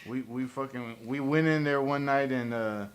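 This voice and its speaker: Male podcast storyteller, medium pitch, blunt profanity and emphatic slang. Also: normal speed, crowd noise. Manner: emphatic, slangy, heavy swearing. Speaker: podcast panelist. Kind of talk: casual storytelling.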